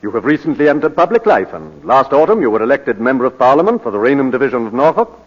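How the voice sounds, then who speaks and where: An actor playing a formal, courteous British barrister examining his client, with measured oratory in 1940s radio drama style. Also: medium pitch, big pitch swings. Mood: measured, probing. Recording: muffled vintage 1940s radio broadcast.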